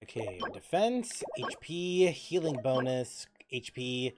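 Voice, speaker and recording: Animated game streamer with lively, swinging pitch. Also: slow pace, very noisy. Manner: thinking aloud, repeats phrases, breaks into loud exclamations. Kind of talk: casual livestream chat and gameplay commentary.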